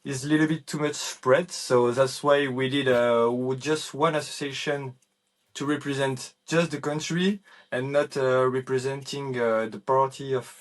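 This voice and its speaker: A man's voice: medium pitch, fairly even intonation, moderate pace. A man from France with a French accent, a guest on a casual video-call chat, laid-back and hesitant, with many fillers.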